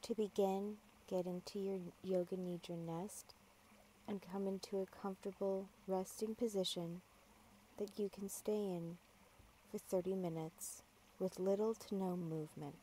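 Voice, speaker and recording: A woman guiding a yoga nidra meditation, giving calm, measured, gentle instructions with long pauses. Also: mid-range voice, a little hiss or hum, low-quality recording. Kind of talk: guided meditation, slow soothing instruction.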